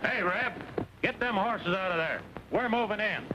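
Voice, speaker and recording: An actor playing a pushy, bullying cavalry soldier in an old Western, brusque and full of orders. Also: male voice, high voice, moderate pace, close to an everyday voice. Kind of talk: old Western film acting. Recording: hissy old film soundtrack.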